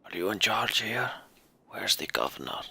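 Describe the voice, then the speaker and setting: A man voicing a gruff, menacing giant mercenary leader for a fantasy game, curt and mistrustful, with a gravelly, husky voice. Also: fairly low pitch, sing-song, normal speed, pushed and bright, exaggerated voice. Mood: blunt. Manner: curt, threatening.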